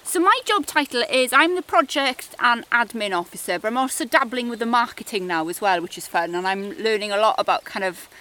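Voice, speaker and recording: A woman's voice: fairly high pitch, lively intonation, a little fast, hardly any pauses, pushed and bright. A Welsh woman in her forties chatting in an interview about her work, with lots of "kind of" fillers. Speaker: project officer. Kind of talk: casual interview chat.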